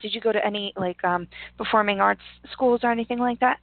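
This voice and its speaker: A woman's voice: mid-range voice, quick pace, no room sound. A woman co-hosting a talk show, asking questions casually with a self-deprecating touch. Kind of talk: casual interview chat. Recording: tinny phone-like line, dry.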